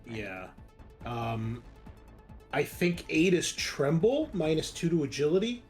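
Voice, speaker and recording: A man's voice: on the high side, very animated, slow, darkish tone. A man chatting casually as a player in a tabletop roleplaying game. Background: music.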